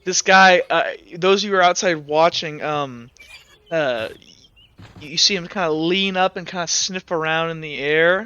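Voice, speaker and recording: A man's voice: fairly high pitch, moderate pace, bright, forceful tone, clean tone. A man narrating a tabletop game as game master, rambling with many fillers and hedges. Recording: dull lo-fi audio.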